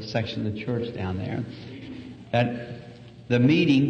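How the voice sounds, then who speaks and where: A soft-spoken, humble man preaching in a church with a slightly hoarse voice and folksy asides. The old recording rings with long hall reverb and sounds dull and lo-fi. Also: medium pitch, slight background noise. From United States. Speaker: evangelist preacher. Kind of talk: sermon. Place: church auditorium.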